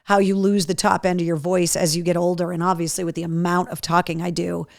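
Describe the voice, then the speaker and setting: A middle-aged radio host woman, chatty and upbeat, sprinkling ums through her announcements. Her voice is deep and worn-in from years on air, yet smooth and clean. It sounds close and dry on a studio mic. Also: level tone, fast, no room sound. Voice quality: deeper, worn-in voice from years of talking. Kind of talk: casual live-show announcements.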